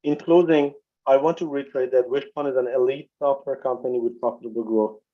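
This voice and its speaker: A man reading prepared financial remarks as a company executive, formal and measured, on a video conference call. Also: mid-range voice, fairly even intonation. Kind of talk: scripted corporate earnings presentation. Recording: dull, lo-fi conference call audio.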